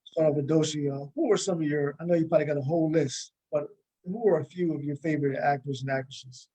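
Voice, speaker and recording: A man with a voice on the higher side for a male speaker conducts an interview. His delivery is casual as he puts questions to his guests and passes turns between them. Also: fairly even intonation, fast talker, mellow, poor audio.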